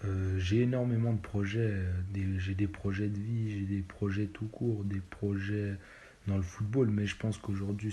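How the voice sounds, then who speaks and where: A man's voice: low-pitched, mellow. A young man in his twenties from Belgium, answering interview questions casually and hesitantly, with many fillers and restarts. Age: 20s. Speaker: footballer interviewee.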